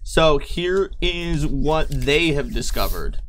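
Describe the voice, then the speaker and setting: A man's voice: fairly high pitch, animated, slow, noisy background. Man running a tabletop game. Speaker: game master and show host. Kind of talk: casual narration of a tabletop game.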